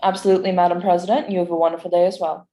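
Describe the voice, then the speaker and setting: Imperious female doctor played by a woman, icy and clipped, slightly low pitch, staged drama. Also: flat delivery, rapid-fire, warm tone, lightly acted. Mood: businesslike. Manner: clipped, formal, haughty. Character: cold, imperious female doctor, stiffly formal and commanding. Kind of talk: staged play reading.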